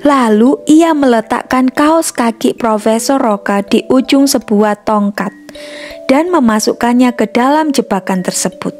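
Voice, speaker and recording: A woman narrates a scripted story for children. Her voice sits fairly high, and soft music plays behind her as she reads. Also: a little slow.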